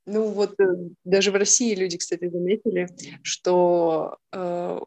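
A woman from Irkutsk, a guest on a casual podcast, speaking in an outspoken, indignant way with hesitant pauses. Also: fairly low pitch, steady tone, a little mellow. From Russia, Irkutsk.

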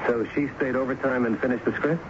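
An actor playing a dogged, shrewd police lieutenant in a radio drama, asking probing, insistent questions. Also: male voice, mid-range voice, fairly even intonation, fast, lightly acted. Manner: probing, insistent questioning, hesitant lead-ins. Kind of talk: 1970s radio drama acting. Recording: muffled, noisy 1970s radio broadcast.

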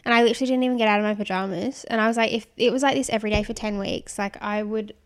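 A woman's voice: medium pitch, fairly even intonation, very fast. A woman with a young-sounding, girlish voice, co-hosting a casual chat podcast, giggly and chatty. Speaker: podcast co-host.

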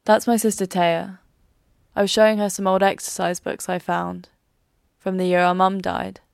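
Female documentary narrator, restrained pitch movement, vocal fry, dry sound. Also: flat delivery, moderate pace, no room sound.

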